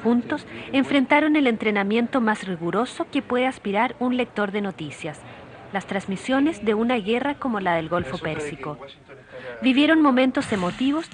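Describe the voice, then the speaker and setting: A woman giving a measured, polished, scripted voice-over for a television news feature. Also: medium pitch. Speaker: TV reporter narrator. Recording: voice-over with music bed.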